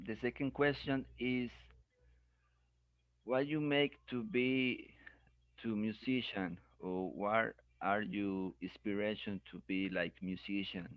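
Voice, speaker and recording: A man with Spanish as his first language, a radio interviewer who asks his questions in a halting way and answers with short replies. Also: fairly low pitch, fairly even intonation, no echo, lo-fi sound.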